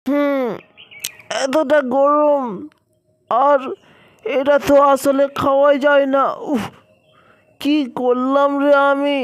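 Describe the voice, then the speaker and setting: A woman's voice: sits high, level tone, slow pace, pauses often, no echo, muffled recording. A woman voicing a naive, greedy, playful Moon character in a children's cartoon. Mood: pained regret. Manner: naive, exclaiming.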